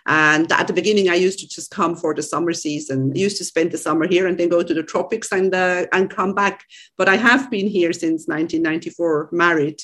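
A woman's voice: lowish voice, fairly even intonation, fairly quick, a bit bright. A woman, a podcast guest chatting casually with frequent restarts and fillers. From Switzerland. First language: German. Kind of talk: casual conversation.

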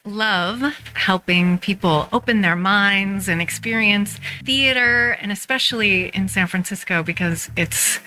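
An American woman hosting a casual podcast chat, effusive and full of quick interjections. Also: mid-range voice, sharp tone, low-quality recording. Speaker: podcast host. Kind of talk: casual talk show chat.

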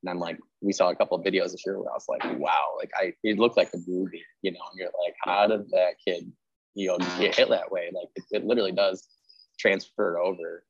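A man's voice: deep voice, fairly even intonation, fairly quick, husky, no room sound, lo-fi sound. A man hosting a casual interview, chatting in an agreeable, rambling way with lots of filler words. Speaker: podcast host interviewing a writer.

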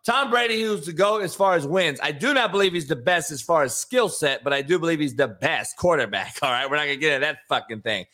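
A man's voice: on the high side, lively intonation, quick pace, hardly any pauses, pushed and bright. A man with an American accent hosting a sports talk show, arguing casually and bluntly with plenty of swearing. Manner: blunt, brash, heavy swearing. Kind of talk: casual profane sports debate.

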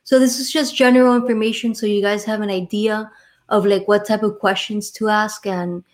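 A friendly woman with a Miami accent, talking at an ordinary middle pitch. She explains insurance as an interview guest, relaxed and conversational. She often checks in with "right?" as she goes. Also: normal speed. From Miami, Florida. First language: Spanish. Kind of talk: podcast interview.

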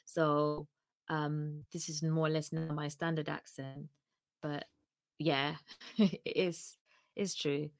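A woman's voice: low voice, flat delivery, slow pace, long pauses, no echo. A woman from Uganda with a mostly English accent carrying Ugandan traces, chatting casually and laughing often. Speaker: podcast guest co-host. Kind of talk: casual chat.

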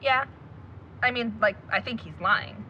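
A woman's voice: a little high, unhurried, pushed and bright. A woman playing a blunt, suspicious young woman in a naturalistic audio drama. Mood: suspicious, guarded. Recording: dull recording with faint noise and ambience.

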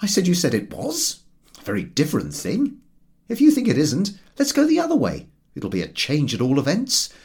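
A man's voice: fairly high pitch, huge pitch swings, normal speed, darkish tone, voice pushed up. A man voicing a sardonic, grumbling Englishman in a storybook reading, with sarcastic, emphatic, pointed delivery. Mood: cross, argumentative.

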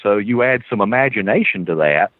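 A man's voice: fairly low pitch, lively intonation, a little fast, no echo. A Southern man chats casually as a podcast guest. He tells his stories animatedly and chuckles often. His voice comes through a thin, phone-like line with no room sound. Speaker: guest author. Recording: tinny phone-line audio.

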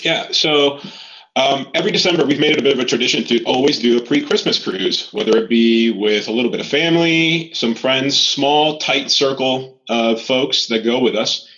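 A man from Miami talks as a guest on a travel podcast. He is laid back and fills his sentences with um and uh, at a middle pitch. The audio sounds a bit dull and muffled. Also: normal speed. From Miami, Florida. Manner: relaxed, many fillers. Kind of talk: casual interview.

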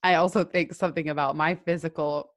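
A woman with a fairly low voice speaks as an interview guest, candid and animated as she shares her own experience. The sound is dry and close, with no room echo. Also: a little fast. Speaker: guest, self-employed entrepreneur. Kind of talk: casual interview chat.

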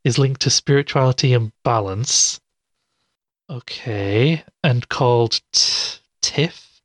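A man's voice: medium pitch, no room sound. Skeptical man acting as podcast host, dry close sound. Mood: puzzled, skeptical. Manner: skeptical, halting, thinking aloud. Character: skeptical male investigative show host puzzling over clues. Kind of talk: audio drama as investigative podcast monologue.

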